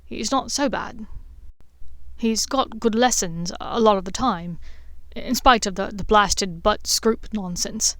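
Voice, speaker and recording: A woman's voice: mid-range voice, slow pace, no room sound, close to an everyday voice. A woman voicing a haughty, sardonic teenage wizard boy with a dry, adamant manner in an audiobook.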